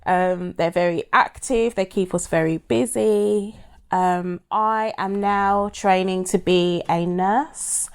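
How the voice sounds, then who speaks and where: A woman guest in a casual chat at home, talking slowly and laughing often. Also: medium pitch, fairly even intonation, smooth. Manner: slow, laughing, teasing.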